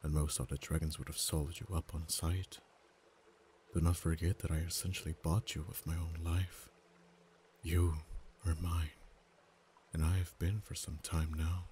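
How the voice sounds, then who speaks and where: Man doing a possessive old dragon, extremely deep voice, monotone, soft-spoken ASMR roleplay. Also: a little hiss or hum. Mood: musing. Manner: slow, measured, drops to whispers. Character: ancient male dragon, proud and possessive.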